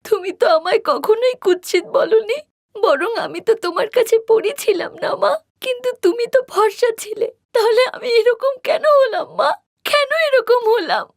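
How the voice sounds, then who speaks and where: A woman's voice: very high voice, animated, normal speed, no room sound, studio quality, pitched above the performer's own voice. A woman voicing a timid, hurt orphaned college girl in a cartoon story, speaking in a meek, pleading way. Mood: tearful.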